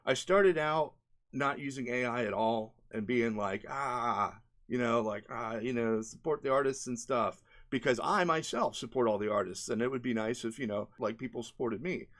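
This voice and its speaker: Male indie game developer, medium pitch. Casual, conversational vlog explanation with rambling phrasing and frequent tag questions. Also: normal speed, a bit bright. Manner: conversational, often tags sentences with "right?" and "you know".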